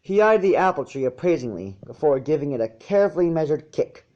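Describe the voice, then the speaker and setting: A man's voice: fairly high pitch, animated, a little fast, a little mellow. Man narrating, slightly lo-fi recording. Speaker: narrator of fan fiction. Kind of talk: storybook narration read aloud.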